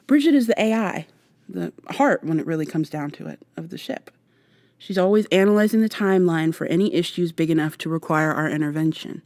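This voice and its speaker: A woman's voice: mid-range voice, no room sound, close to an everyday voice. A woman playing an earnest, protective time traveler in an ASMR roleplay, speaking close to the mic in a hesitant, rambling, reassuring way. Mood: hurried, explaining. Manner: hesitant, rambling, gently reassuring, some whispering. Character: earnest, flustered, long-winded female time traveler, protective. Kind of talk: ASMR roleplay, softly acted monologue to the listener. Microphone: close binaural microphone.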